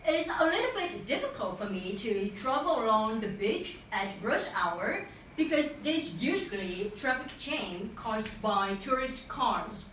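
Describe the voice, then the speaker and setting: A young Vietnamese woman with a Vietnamese accent gives a class presentation in English, earnest, pausing and restarting now and then. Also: a little high, big pitch swings, a bit bright, slight background noise. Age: young adult. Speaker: student presenter. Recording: very echoey room, mic far off.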